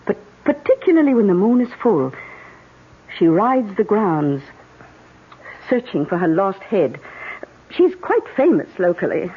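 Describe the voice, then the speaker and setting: A woman's voice: medium pitch, a little hiss or hum. An actress playing a chatty, gossipy upper-class British lady with dry understatement in an old-time radio drama. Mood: storytelling. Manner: chatty, drily understated, faintly theatrical. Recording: narrow-band old radio recording with music.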